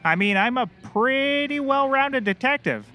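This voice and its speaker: A man acts out a bumbling, eager male private eye in an audio comedy. His voice is extremely high for a man, bright and swooping, with an excitable, oblivious earnestness. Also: measured pace, heavily acted. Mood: oblivious, hopeful. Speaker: actor in a scripted comedy series. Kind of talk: scripted audio comedy acting.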